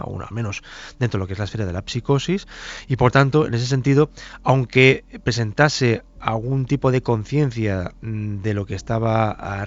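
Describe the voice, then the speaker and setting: A man giving analytical expert commentary, formal and hesitant, often repeating and circling back over his phrases. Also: fairly low pitch. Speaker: expert commentator on criminal psychology. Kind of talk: halting analytical interview commentary. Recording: dull, band-limited audio.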